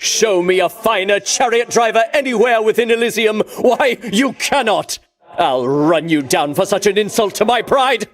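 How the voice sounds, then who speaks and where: Casting: a boastful ancient Greek champion king in a game, high ringing voice, theatrical pomp, wildly swooping intonation. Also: lively intonation, moderate pace. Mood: haughty boasting. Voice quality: ringing, declamatory. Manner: pompous, archaic grandiose diction. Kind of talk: theatrical video game voice acting.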